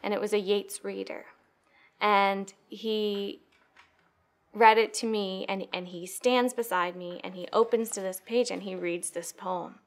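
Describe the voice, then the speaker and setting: A woman's voice: mid-range voice, fairly even intonation, a little slow. Thoughtful young American woman telling personal stories. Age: young adult. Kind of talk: reflective personal storytelling.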